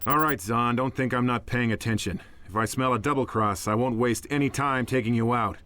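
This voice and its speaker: An actor playing a suspicious, threatening rebel commander in a video game. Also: male voice, medium pitch, brisk, sharp tone, a little hiss or hum, close to an everyday voice. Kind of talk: video game cutscene acting.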